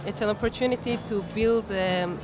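Young Korean-accented woman guest, careful phrasing, live booth with background music. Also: medium pitch. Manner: careful, measured speech with frequent hesitations. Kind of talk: casual interview at a book fair. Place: busy fair hall.

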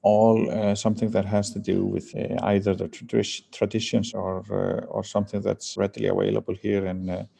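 A man from Iceland answering interview questions as a company founder, speaking haltingly with many fillers and restarts. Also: on the low side, completely flat delivery, vocal fry, warm tone, breathy edge.

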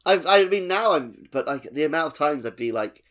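A man's voice: on the high side, expressive, a little fast, a bit bright. A man hosting a gaming podcast in casual, conversational chat. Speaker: podcast host.

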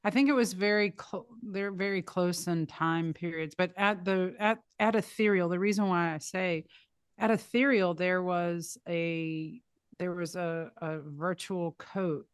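A woman's voice: on the low side, fairly even intonation, measured pace, smooth, no echo, studio quality. A woman recounting memories in a casual conversation, talking in a hesitant way that often trails off and restarts. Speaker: podcast guest.

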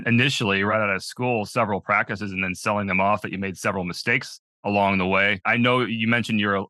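Brief: man, podcast host, measured questions, lowish voice, dry close mic. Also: bright, forceful tone, dry recording. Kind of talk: interview questions.

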